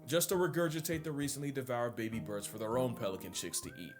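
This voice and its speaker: Male video essay narrator, mid-pitched and brisk, wry and sarcastic, comedic science script over music. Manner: wry, sarcastic, slangy exaggeration.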